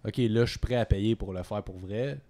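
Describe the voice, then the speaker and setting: A man's voice: lowish voice, moderate pace. Laid-back Québécois man talking in circles. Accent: Québécois French. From Quebec. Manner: relaxed, rambling, many fillers. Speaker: band member. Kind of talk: casual interview chat.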